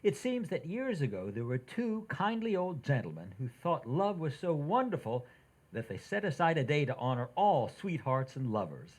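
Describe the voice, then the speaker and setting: A woman's voice: low-pitched, sing-song, normal speed, darkish tone, rough recording. A woman playing an oblivious, teasing husband in an old-time radio comedy, with a dry delivery.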